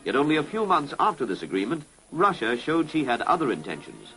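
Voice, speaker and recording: A man's voice: medium pitch, wildly swooping pitch, a little fast, bright, forceful tone, low-quality recording. A British man narrating a 1960s public information film in a formal, authoritative way. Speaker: documentary narrator. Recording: vintage 1960s film soundtrack, music behind.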